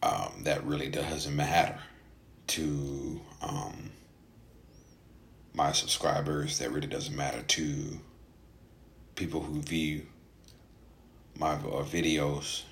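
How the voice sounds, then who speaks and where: A man who stutters, speaking casually in a halting personal monologue with frequent gaps and hesitations, as a podcast host. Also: a bass voice, creaky, fairly bright tone.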